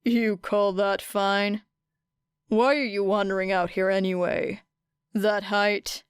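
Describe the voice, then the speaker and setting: Gruff, evasive street tough played by a woman, curt and muttering, unhurried delivery, clean dry audiobook sound. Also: medium pitch, little pitch movement, no room sound, close to an everyday voice. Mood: scornful. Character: gruff irritable man, street vigilante, evasive and foul-mouthed. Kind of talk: audiobook character dialogue.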